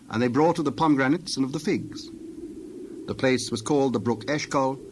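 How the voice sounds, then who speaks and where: A male narrator with a medium-pitched voice tells a scripture story. He reads solemnly and deliberately, with birdsong and clear ambient noise behind him.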